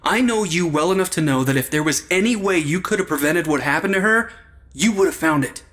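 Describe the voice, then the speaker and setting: An actor playing a wry, loyal investigator in an audio drama, casual in delivery with a dry way of talking. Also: male voice, a little high, animated, fairly quick, a bit bright, slight background noise. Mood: reassuring, earnest. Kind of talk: supernatural comedy audio drama acting.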